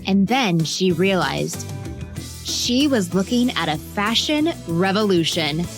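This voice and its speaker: A woman tells a children's biography in a scripted storytelling style. Her pitch moves expressively and she takes her time, slipping in conversational asides. Light music plays behind her. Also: sing-song, takes it slowly. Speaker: narrator.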